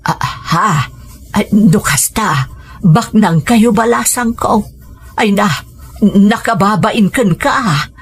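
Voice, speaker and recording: A woman's voice: medium pitch, takes it slowly, husky. A woman playing an affectionate, humble elderly mother in a radio drama, with an Ilocano accent and a tender, easily flustered manner. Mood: humble, embarrassed.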